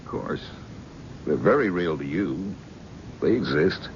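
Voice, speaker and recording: A measured, probing shrink, very low and gravelly voice, old radio play, dull hissy broadcast sound. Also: male voice, expressive, heavily acted. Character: calm probing male psychiatrist, middle-aged. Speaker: psychiatrist. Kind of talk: 1970s radio drama acting.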